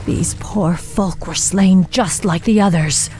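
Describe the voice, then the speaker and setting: A woman's voice: lowish voice, takes it slowly, a little mellow. A night elf warden in a fantasy game. She is stern, commanding and vengeful, speaking with grave resolve over music. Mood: grim resolve. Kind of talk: dramatic video game cinematic acting.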